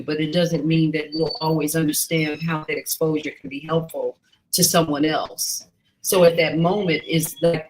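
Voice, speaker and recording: A woman's voice: low-pitched, poor audio. Reflective woman talk show host. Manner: reflective, thoughtful, warmly affirming. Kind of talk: casual interview chat.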